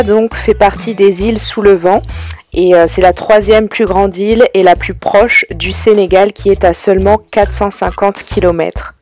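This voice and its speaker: A woman from France narrating a travel vlog in a relaxed, chatty way, with frequent hesitations. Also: mid-range voice. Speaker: travel vlogger.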